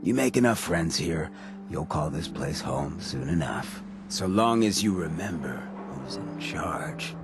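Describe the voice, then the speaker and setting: An actor playing a swaggering, roguish ghoul town boss in a video game, cocky and folksy with veiled menace, in a gravelly voice. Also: male voice, deep voice, lively intonation, unhurried, music in the background. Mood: welcoming, sly menace. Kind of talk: video game character acting.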